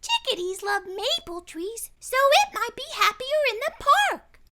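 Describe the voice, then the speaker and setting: A woman voicing a bright, excitable little girl who loves animals and explains things matter-of-factly in a storybook. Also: extremely high pitch, unhurried, background noise, voice pushed up, exaggerated voice. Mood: eager, informative. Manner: matter-of-fact, excited, instructive. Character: bright, eager, know-it-all little girl animal lover. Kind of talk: storybook character voice.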